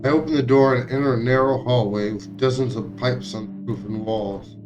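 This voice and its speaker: A man streaming a visual novel, reading its text aloud in a somewhat halting way with occasional stumbles. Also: mid-range voice, steady tone, muffled recording, music in the background. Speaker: game streamer.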